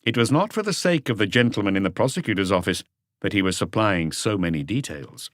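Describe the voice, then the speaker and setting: Low-voiced male audiobook narrator. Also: animated, fairly quick, dry recording, studio quality. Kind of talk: scripted crime story narration.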